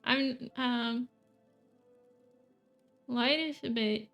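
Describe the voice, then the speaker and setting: A woman's voice: fairly high pitch, extremely slow, vocal fry, lo-fi sound, faint music behind. A young woman from Sweden streaming a game and chatting casually, rambling and correcting herself as she thinks aloud. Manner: rambling, hesitant, self-correcting, giggly. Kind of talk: casual gameplay chat.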